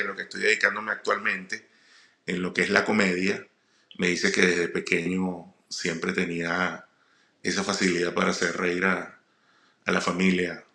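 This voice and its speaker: Low, gravelly Venezuelan man. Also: pushed and bright. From Puerto La Cruz, eastern Venezuela. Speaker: comedian, guest speaker. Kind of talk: personal storytelling monologue. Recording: roomy echo.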